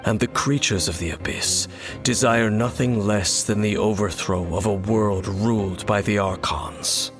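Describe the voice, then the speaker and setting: Brooding young wanderer for a fantasy game, male actor: low, very unhurried, solemn and cryptic, music underneath. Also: lightly acted. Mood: solemn, ominous. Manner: measured, solemn, cryptic phrasing. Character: brooding, world-weary young male wanderer hunting the Abyss. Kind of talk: dramatic video game dialogue. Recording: studio voice over game music.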